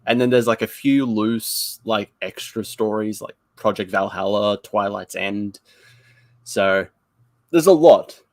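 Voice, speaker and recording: A man's voice: fairly low pitch, slow, dry recording. A man giving a casual review as a panelist, hesitant and often repeating his words. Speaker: audio drama review panelist.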